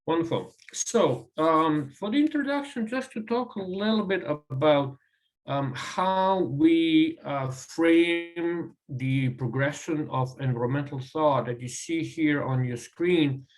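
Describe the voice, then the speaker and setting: A man from Croatia with a Croatian accent, a guest speaker giving a presentation over a video call, pausing often with ums and uhs. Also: a little high, low-quality recording. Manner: halting, with frequent ums and uhs. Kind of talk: online presentation.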